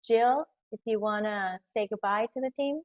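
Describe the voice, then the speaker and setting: Woman, panel moderator, brisk, polite, muffled lo-fi video call audio. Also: medium pitch.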